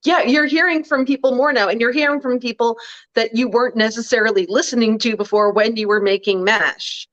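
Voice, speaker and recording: A woman with a deep voice co-hosting a casual chat, wry and blunt, full of "like" and "um". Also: fairly even intonation, fairly quick, a bit bright, highs cut off. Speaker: co-host.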